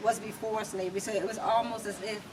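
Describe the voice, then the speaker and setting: A noisy, distant-miked recording of an American woman in conversation. She has a medium-pitched voice and speaks emphatically and reflectively, setting out her story in short, deliberate phrases as an interview guest. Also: flat delivery. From United States. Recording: distant mic, clearly noisy background.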